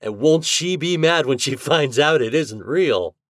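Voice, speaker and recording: An excitable young boy from a storybook, acted out by a man, pitched well up and swooping. He is boisterous and teasing, full of boasts and exclamations, in a close, dry recording. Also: measured pace, dry recording. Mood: boastful, gleeful. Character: excitable young boys at a party, cheering and teasing.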